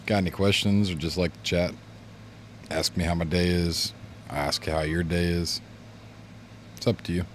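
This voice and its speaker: A man's voice: low voice, flat delivery, normal speed, pauses often, slight background noise. A man streaming games and chatting casually with viewers, laid-back and rambling, his chest congested from a recent illness. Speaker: game streamer. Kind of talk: casual livestream chat. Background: fan blowing near the mic.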